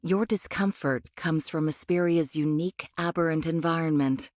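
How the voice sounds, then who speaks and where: An actress playing a condescending cyborg angel of a rebel faction in an anime game, speaking in a measured, cryptic way. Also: female voice, sits low, darkish tone, dry recording, clean studio sound, lightly acted. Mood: condescending, knowing. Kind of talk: anime game voice acting, expository dialogue.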